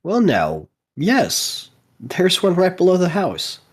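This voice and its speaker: A woman voicing a shy, slow-spoken, kindly middle-aged farm man from a storybook, husky and folksy. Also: lowish voice, huge pitch swings, pitched below the performer's own voice, full character voice. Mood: shy, gentle.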